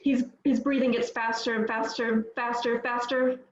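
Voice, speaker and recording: A woman reading stage directions aloud at a table read, steady and plain, with small slips. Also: fairly high pitch, normal speed. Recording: echoey, dull lo-fi audio.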